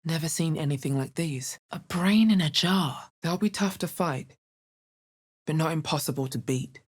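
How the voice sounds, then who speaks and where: A very low, husky female adventurer from a fantasy video game. She is wary and dry, delivering her remarks in a measured, understated way. Her rough-edged bass gives her a guarded weight. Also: darkish tone. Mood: wary, matter-of-fact. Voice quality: bassy, husky. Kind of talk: video game character voice lines.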